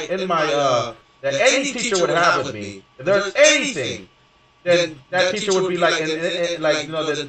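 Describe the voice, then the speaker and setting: A young man with a somewhat high voice tells a long personal story and talks on casually, swearing and circling back with "you know what I mean." He sits well back from the mic, and the room rings with heavy echo. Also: fairly bright tone. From Houston, Texas. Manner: profane, rambling, many fillers and restarts. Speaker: gaming streamer. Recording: very echoey room, distant from mic.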